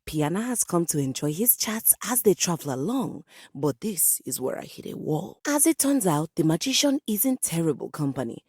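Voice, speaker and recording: A living grand piano from a video game, a proud diva composer with a lowish, slightly husky voice. She speaks formally and with great drama, swooping widely in pitch. The sound is close and dry. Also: moderate pace, hardly any pauses, no echo. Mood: dramatic storytelling. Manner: refined, formal, theatrical, grandly expressive. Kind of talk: theatrical video game character dialogue.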